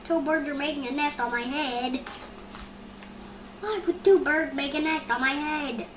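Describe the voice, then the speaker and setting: A child putting on an excitable high-pitched storybook elephant. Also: measured pace. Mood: exasperated. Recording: echoey, noisy room recording.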